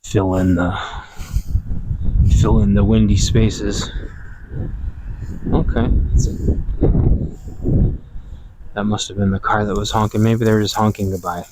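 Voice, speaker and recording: Low-voiced man talking quietly outdoors. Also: level tone, slight background noise, rough recording. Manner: low, quiet, deliberately not projecting. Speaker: prepping vlogger. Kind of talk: rambling casual monologue. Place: outdoors in a yard, watering trees.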